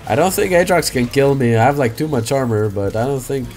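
A young man casually commentating his own gameplay on a headset mic, swinging into loud, swearing outbursts. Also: mid-range voice, lively intonation, a little fast. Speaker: game streamer. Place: small room indoors.

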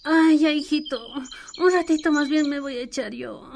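A woman's voice: high-pitched, normal speed, vocal fry, very noisy. An actress with a Bolivian accent playing a hungover, languid but affectionate mother in a radio drama. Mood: groggy.

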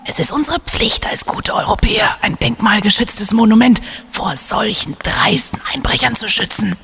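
An actor playing an eager, gung-ho young male student in a radio play, excitable and dramatic. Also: medium pitch, moderate pace, whispered. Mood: urgent whisper.

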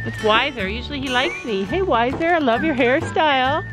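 A woman's voice: sits high, lively intonation, moderate pace, low-quality recording. A woman in her forties from Canada, chatting upbeat to a handheld camera at home and at a horse barn as a family vlogger. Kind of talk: casual vlog narration. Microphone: handheld camera at arm's length. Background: background music.

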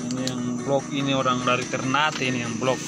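A man's voice: level tone, a little fast, loud music behind the voice. A man with an Eastern Indonesian accent giving casual running commentary as a miner filming on a handheld phone. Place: cramped underground mine tunnel. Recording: loud rumbling noise, handheld phone.

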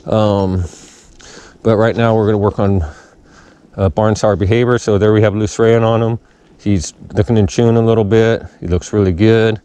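A calm, plain-spoken man explains horse training from the saddle, his mellow voice caught by a head-mounted action camera outdoors. He talks at an easy pace with plenty of fillers. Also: on the low side, moderate pace, clean tone, highs cut off. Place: outdoors on a wooded trail, riding a horse.

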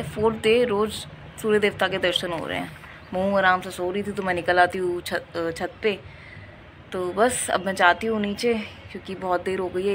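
A woman in her thirties with a slightly hoarse voice, chatting casually in a home vlog. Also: medium pitch. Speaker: home vlogger and mother. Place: home, rooftop lawn and indoor rooms. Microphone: phone at arm's length or nearby. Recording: phone recording with background noise.

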